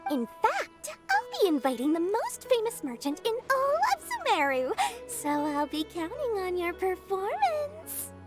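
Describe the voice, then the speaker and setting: A woman's voice: very high voice, wildly swooping pitch, measured pace, hardly any pauses, over loud music, heavily acted. A woman voicing a shrewd, smug, childlike girl merchant in a video game, theatrical, haughty and teasing. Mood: haughty, calculating. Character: shrewd, smug young female merchant, childlike and money-minded. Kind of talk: video game character acting.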